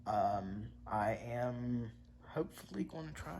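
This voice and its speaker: Slow, gravelly-voiced man vlogging. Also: medium pitch, lively intonation, a little mellow, slight background noise. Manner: halting, many fillers and restarts. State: congested. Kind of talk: casual vlog chat to viewers.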